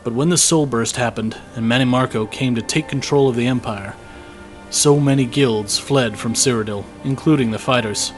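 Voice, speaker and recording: Fantasy drama conscript, young man male actor: medium pitch, guilt-worn, reflective diary delivery, prominent musical score behind. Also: moderate pace, soft background music, lightly acted. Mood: wistful. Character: weary, guilt-ridden young male imperial conscript soldier. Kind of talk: scripted fantasy audio drama journal narration.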